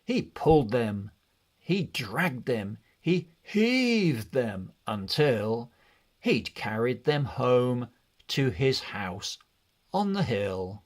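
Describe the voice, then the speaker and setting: A man narrating a children's read-along storybook in an expressive, deliberate way. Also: mid-range voice. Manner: expressive, deliberate, measured delivery.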